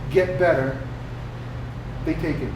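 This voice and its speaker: A man's voice: a little high, slow. A man on a sports talk panel speaking casually about football, emphatic and slangy. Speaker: sports podcast panelist, self-described defensive player. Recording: echoey room, distant mic, noisy background.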